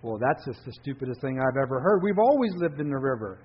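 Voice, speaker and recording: A man's voice: medium pitch, very animated, fast, husky. A man voicing a sly, teasing male best friend. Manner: teasing, mocking. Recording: dull lo-fi recording.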